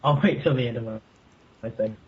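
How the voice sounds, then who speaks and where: A man co-hosting a podcast in casual, joking banter, haltingly repeating his phrases. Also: medium pitch, a little hiss or hum. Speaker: podcast co-host. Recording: muffled lo-fi podcast audio.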